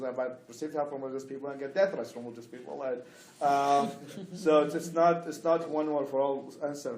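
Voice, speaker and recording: Man speaking haltingly in an echoey room. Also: fairly high pitch, a little mellow. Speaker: panelist. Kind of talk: panel discussion.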